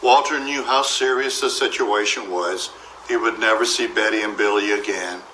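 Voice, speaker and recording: A man narrates a children's storybook with a bright, forward voice. He reads expressively and acts out the dialogue. The room adds a clear echo, and there is faint noise behind him. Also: on the low side, normal speed, distant mic. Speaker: storybook reader.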